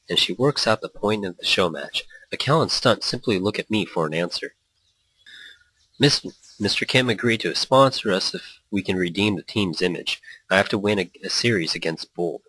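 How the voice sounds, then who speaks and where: A man's voice: low-pitched, sharp tone, slightly rough, no room sound, poor audio. A man hosting a gaming let's play, reading story text aloud with playful, rambling asides and small stumbles. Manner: playful, rambling, stumbles over read lines.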